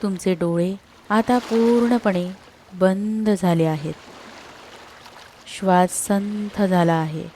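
A woman speaks very slowly in a mid-pitched voice, guiding a sleep meditation. Her delivery is soothing and scripted, with short phrases separated by long pauses. A faint layer of trickling water ambience runs behind her. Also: mellow, a little hiss or hum. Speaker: guided meditation narrator.

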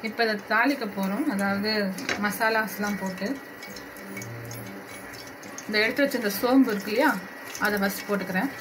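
A woman hosting a home cooking video, calmly walking viewers through a recipe step by step in her kitchen. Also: medium pitch. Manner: unhurried step-by-step instructions, addressing viewers directly. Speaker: cooking channel host. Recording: noisy home recording.

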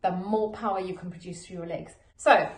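A woman's voice: lowish voice, fairly even intonation, a little fast. A woman fitness coach demonstrating exercises with informal, encouraging short cues. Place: living room at home, indoors. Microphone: camera a few steps away. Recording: echoey living room, distant camera.

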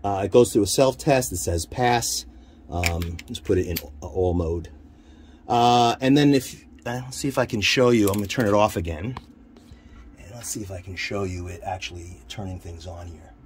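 A man giving an informal hands-on equipment demonstration, haltingly thinking aloud as he handles the gear. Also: fairly low pitch. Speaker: radio hobbyist demonstrating equipment. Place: inside a military-style off-road vehicle. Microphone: handheld camera, one hand free. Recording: noisy handheld camera audio.

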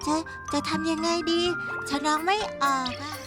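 Actor as timid small child, very high pitch, hesitant, stammering, earnest, cartoon dub, loud music. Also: flat delivery, normal speed, lightly acted. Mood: nervous. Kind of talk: dubbed children's cartoon acting.

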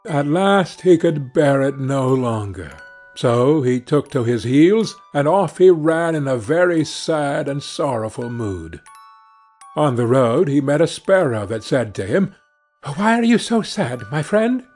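A man narrating a fairy tale as a scripted audiobook, in a measured, expressive storytelling manner. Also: mid-range voice, faint music behind.

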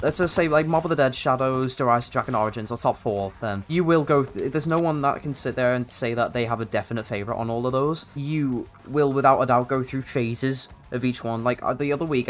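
A man's voice: mid-range voice, fairly bright tone. A young man with a hybrid North London and Liverpool accent, giving chatty, rambling, slangy gameplay commentary. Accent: hybrid of North London and Liverpool English. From England (North London, grew up in Liverpool). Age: young adult. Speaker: gaming video creator.

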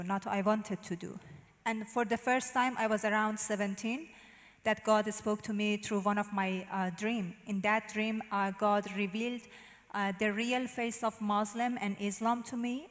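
A woman in her thirties with a Persian accent speaks with a level, narrow melody, pausing often on "uh" as she searches for English words. She holds a handheld microphone on a church stage, and her voice trails into the long reverb of a large hall. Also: medium pitch. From Iran. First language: Farsi. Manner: hesitant, frequent "uh" fillers, non-native phrasing. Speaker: interview guest giving testimony. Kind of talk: personal testimony.

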